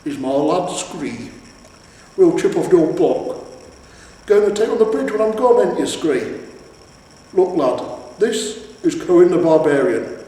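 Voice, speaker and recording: A man's voice: fairly high pitch, animated, unhurried, pauses often, dark tone, pitched above the performer's own voice. A man voicing a proud, eager troll, a henpecked family father who talks in earnest, homely boasts, in a storybook reading. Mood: proud, excited. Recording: echoey reverberant room, distant mic, faint noise.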